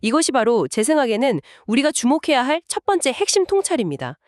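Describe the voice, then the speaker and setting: Woman on a radio book show spelling out the takeaway, animated, dry sound. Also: high-pitched, fast talker, dry recording. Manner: explanatory, drawing lessons. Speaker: co-host commenting on the story.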